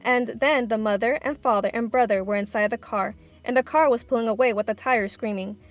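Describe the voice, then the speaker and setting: A woman narrating a children's book aloud in an expressive storytelling style. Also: a little high, fairly quick, soft background music.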